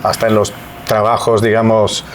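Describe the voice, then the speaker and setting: A man's voice: on the low side, monotone, moderate pace, slight background noise. A middle-aged man from Italy's Veneto, speaking Spanish with an Italian accent as a podcast guest, reflective and hesitant with many restarts. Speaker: guest, EU civil servant. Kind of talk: podcast interview.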